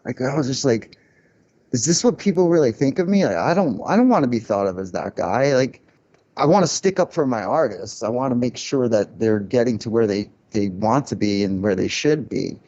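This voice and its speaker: A man's voice: medium pitch, animated, moderate pace, warm tone, dull audio. A man of Cuban heritage, a record label founder, talking candidly in a casual podcast interview, reflective and full of restarts. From Cuban family, grew up in a loud-talking city.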